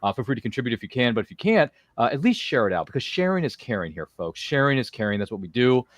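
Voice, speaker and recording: Fast-talking energetic male podcast host. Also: medium pitch, no echo. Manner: effusive, energetic, stammering repeats, slangy. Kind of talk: casual livestream roundtable chat.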